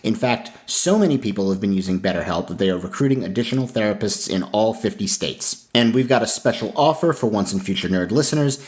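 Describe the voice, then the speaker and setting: Guy on the low side doing podcast housekeeping and sponsor reads, chatty but earnest. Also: animated, brisk, few pauses, darkish tone. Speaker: podcast host. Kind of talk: podcast announcements and host-read sponsor spot.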